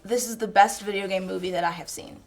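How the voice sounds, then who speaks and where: A woman's voice: medium pitch, steady tone, fast. A woman co-hosting a review, giving opinions in an emphatic, informal and chatty way. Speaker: co-host reviewer. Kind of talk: casual opinionated chat.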